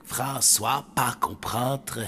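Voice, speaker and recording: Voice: medium pitch, fairly even intonation, drawn-out words, bright, forceful tone, husky, exaggerated voice. An actor voicing a dim, eager, childlike cartoon monster who speaks in broken third person. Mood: puzzled. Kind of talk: cartoon voice acting.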